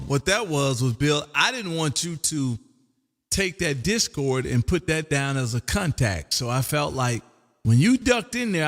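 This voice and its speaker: An older man of about sixty hosting an amateur radio stream, rambling casually and folksily, often tagging sentences with "man". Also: on the high side. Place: home radio room. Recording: long echoing room reverb.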